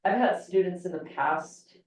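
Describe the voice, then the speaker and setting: A woman's voice: fairly low pitch, unhurried, darkish tone. A woman instructor giving a conversational classroom lecture, often restarting sentences and checking in with "okay". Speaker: engineering instructor. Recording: echoey classroom, from far off.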